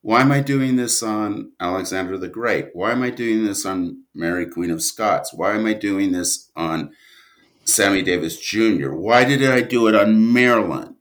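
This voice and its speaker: A man talking casually as an interview guest, a writer who trails off and restarts his thoughts in an animated way. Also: on the low side, normal speed.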